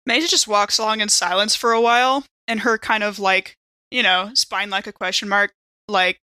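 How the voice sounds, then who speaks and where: A woman casually narrates her character's actions in a tabletop game. Her voice is bright and sits in a middle range, with a close, dry sound. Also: mid-range voice, fairly even intonation, normal speed, no room sound.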